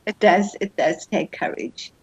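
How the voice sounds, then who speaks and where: A woman's voice: medium pitch, a little slow, a little mellow, slightly rough, no echo. A woman hosting an online writers' seminar, interviewing conversationally with hesitant phrasing and repeated words. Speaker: host of a writers' group seminar. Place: online video call. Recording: dull, lo-fi video-call audio.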